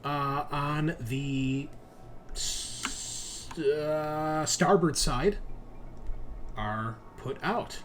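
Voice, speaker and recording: A man's voice: a little high, extremely slow, fairly bright tone, slight background noise. A man narrating as game master in a casual, joking, informal way. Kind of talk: casual narrating of an online tabletop roleplaying game.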